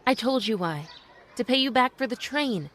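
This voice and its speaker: Woman playing a careful, proper young mom in old radio drama, highish voice, hesitant, faint noise behind. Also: lively intonation, moderate pace, fairly bright tone. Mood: defensive. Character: gentle, guarded young widowed mother, proper and hesitant.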